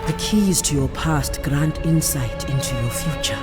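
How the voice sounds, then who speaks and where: A woman playing a resolute island priestess in a video game, speaking in a formal, measured, assured way. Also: sits low. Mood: solemn, resolute. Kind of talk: video game character acting. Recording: prominent music behind the voice.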